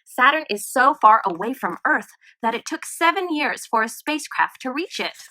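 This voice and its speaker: A woman teacher reading a children's nonfiction book aloud in an expressive storytime manner. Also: high-pitched, moderate pace.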